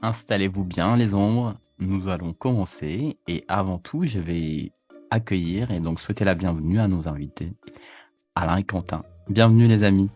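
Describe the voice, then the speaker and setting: Low-voiced podcast host over faint music. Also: male voice, moderate pace, a little mellow. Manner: friendly, asks questions. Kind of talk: casual podcast hosting.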